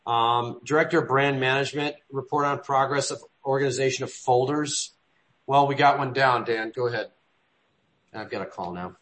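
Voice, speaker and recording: A man's voice: medium pitch, normal speed, fairly bright tone. A man running a weekly business meeting, rambling with frequent restarts and trailing asides. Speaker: meeting leader.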